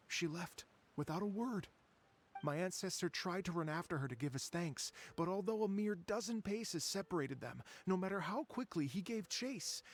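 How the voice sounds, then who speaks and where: A middle-aged wandering gentleman in an anime game. His voice sits a little high with a clear creak, and he speaks politely and earnestly, with measured wistfulness. A faint ambient noise runs underneath. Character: courteous middle-aged traveling festival organizer, earnest and wistful. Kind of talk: anime game voice acting, storytelling.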